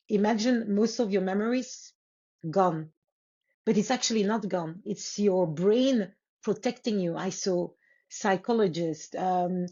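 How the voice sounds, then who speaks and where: A woman from Congo whose first language is Lingala speaks as an interview guest, earnest and unhurried, pausing and restarting as she thinks. Her voice sits at an ordinary middle pitch for a woman. The audio is slightly dull and muffled. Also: mellow, slightly rough. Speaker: guest author. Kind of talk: reflective interview storytelling.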